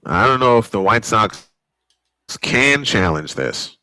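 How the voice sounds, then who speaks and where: An older American man calling a baseball game in classic broadcast style, his voice bright and forward. He rattles off counts and pitches steadily and lifts sharply when a play gets close. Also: slow, smooth, no echo, rough recording. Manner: old-school broadcast style, rising excitement on big plays. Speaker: play-by-play announcer.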